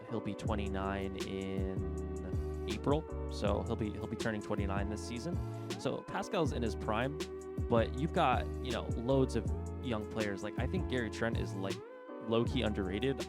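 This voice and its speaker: A man with a cold and a stuffy, congested voice, rambling informally as he hosts a casual basketball chat. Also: on the low side. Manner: rambling and informal, thinking aloud. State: congested from a cold, sore throat. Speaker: basketball video host. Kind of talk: casual sports commentary.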